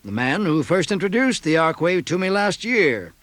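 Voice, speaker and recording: An actor playing a commanding emperor with theatrical authority in a 1970s science fiction audio drama. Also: male voice, sits high, big pitch swings, moderate pace, fairly bright tone, dry recording. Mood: composed. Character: commanding emperor returned to confront traitors.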